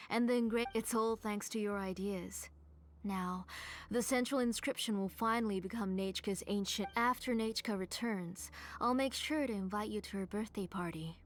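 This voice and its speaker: A woman's voice: mid-range voice, natural voice. Anguished, obsessive grieving mother played by an actress. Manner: anguished, dramatic. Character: grieving, obsessive mother, desperate to revive her child. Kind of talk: video game voice acting.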